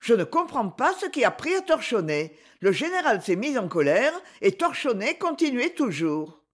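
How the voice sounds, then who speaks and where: A puzzled young boy wonders aloud, as a woman reading the story voices him. The pitch sits above her own. It is a storybook reading. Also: big pitch swings, moderate pace.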